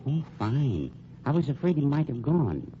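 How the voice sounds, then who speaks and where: An actor playing a coolly menacing funeral home owner with silky, formal politeness in an old-time radio crime drama. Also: male voice, medium pitch, moderate pace, lightly acted. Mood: smooth, mocking. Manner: formal, silkily polite, deadpan. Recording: muffled, noisy old radio recording.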